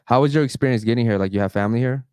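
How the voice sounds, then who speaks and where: A man hosting a casual podcast, giving shout-outs and interviewing guests. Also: medium pitch, very fast, clear, resonant tone, no echo. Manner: hypes the chat and sponsor, asks guests questions. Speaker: podcast host.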